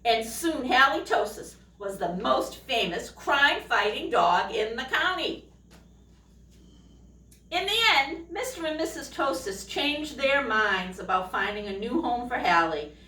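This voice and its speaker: A woman reading a children's picture book aloud expressively, pausing to put questions to young listeners. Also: on the high side, animated, pushed and bright. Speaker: storytime reader, teacher. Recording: echoey room, distant microphone.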